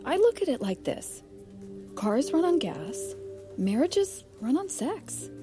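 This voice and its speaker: A woman playing an excitable, giggly married girlfriend in an audio drama, with a gossipy, animated delivery. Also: high voice, slow pace, exaggerated voice. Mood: soothing, earnest. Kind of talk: naturalistic audio drama dialogue. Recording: music playing behind the voice.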